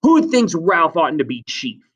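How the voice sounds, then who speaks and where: A man voicing a bitter, tearful, defiant boy hunter in a storybook reading, making loud, forceful declarations. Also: fairly high pitch, animated, moderate pace, far from the mic, highs cut off, pitched above the performer's own voice. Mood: demanding.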